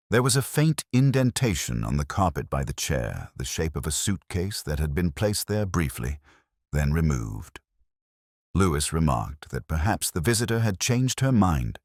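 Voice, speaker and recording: A man reading a scripted first-person detective story in a measured, literary, reflective manner. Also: very deep voice, dry recording, studio quality. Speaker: narrator.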